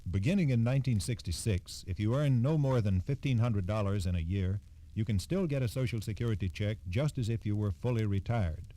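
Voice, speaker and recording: A man's voice: fairly low pitch, brisk, mellow, no echo. A man reading scripted information as a guest spokesman on 1960s radio, formal and matter-of-fact. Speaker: Social Security spokesman guest.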